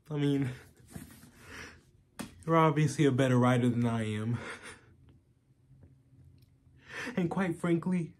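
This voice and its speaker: A male actor performs a washed-up man full of self-loathing. He speaks in a halting, emotionally raw way, pleading to be reassured. It is a dramatic monologue at a medium male pitch. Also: a little mellow, lightly acted. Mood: uneasy apology.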